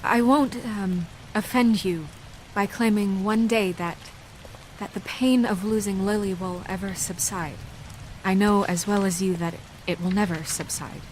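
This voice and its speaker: A woman's voice: mid-range voice, fairly even intonation, darkish tone, close to an everyday voice, low-quality recording. Woman acting a composed, solemn eulogist. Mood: solemn, tender. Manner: measured, halting, formal. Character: composed, earnest woman giving a funeral eulogy. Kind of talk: solemn speech to mourners. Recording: faint rain and background noise.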